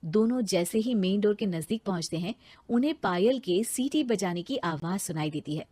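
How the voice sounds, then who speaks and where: A woman narrating a cartoon story and voicing a cheeky young heroine, in a lively way. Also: medium pitch, normal speed, very noisy. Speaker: narrator and lead voice.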